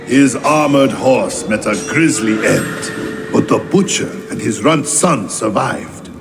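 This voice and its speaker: An actor playing a bitter, scornful islander who tells a war story in a measured, dramatic way for a video game. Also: male voice, mid-range voice, lively intonation, vocal fry, noisy background. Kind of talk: scripted dramatic narration. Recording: music behind the voice.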